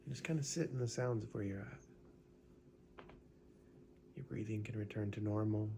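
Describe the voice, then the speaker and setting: A man leading a guided gratitude meditation in a relaxed, conversational way, often adding "right?" and small fillers. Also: lowish voice, normal speed, creaky, background noise.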